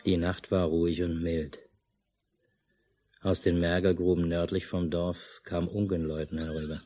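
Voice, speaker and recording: A man's voice: low-pitched, little pitch movement, unhurried, mellow. An actor playing a stubborn, unruffled village family man and narrator in a 1950s German radio drama. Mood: calm, narrating. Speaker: radio play lead and narrator. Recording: noisy mono 1950s radio recording.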